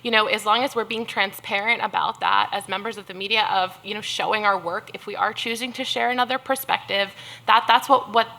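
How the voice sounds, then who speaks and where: A young woman journalist on a panel, talking earnestly and quickly with many restarts. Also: medium pitch, fairly even intonation, bright, forceful tone, low-quality recording. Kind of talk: panel discussion.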